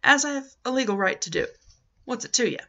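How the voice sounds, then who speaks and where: A cool, sardonic escaping patient from an audiobook read by a woman, curt and defiant. The voice is sharp with a gravelly creak. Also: a little high, expressive, fairly quick, dull audio, voice pushed up, exaggerated voice. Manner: curt, assertive.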